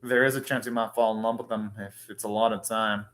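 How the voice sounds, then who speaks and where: A man's voice: fairly low pitch, little pitch movement, a little fast, fairly bright tone. A man hosting an anime reaction, commenting casually and thinking aloud in short, rambling remarks.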